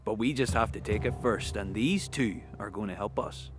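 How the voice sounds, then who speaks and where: A man's voice: on the low side, close to an everyday voice. Man playing a menacing grizzled Northern Irish gang leader. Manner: taunting, deliberate threats. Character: grizzled menacing leader of armed post-apocalyptic outsiders. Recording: loud music over the voice.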